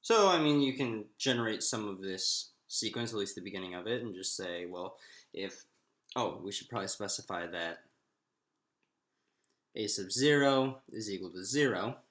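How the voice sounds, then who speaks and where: A man teaches mathematics informally in a roomy, echoing space. His pitch moves around in a lively way as he works things out aloud. The delivery is halting, with stretched pauses between phrases. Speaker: math lecturer. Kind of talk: informal lecture, working through a derivation aloud. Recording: roomy, echoing room sound.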